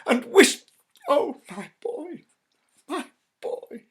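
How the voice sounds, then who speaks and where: Man playing a frantic, high-pitched grieving old woman. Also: big pitch swings, very slow, fairly bright tone, full character voice. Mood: frantic, pleading. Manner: frantic, breathless crying out. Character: elderly grieving mother, feverish and desperately insistent.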